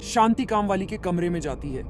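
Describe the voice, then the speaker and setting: A narrator telling a cartoon horror story in clear, even storybook narration. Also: medium pitch, fairly quick, background noise. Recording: loud background music.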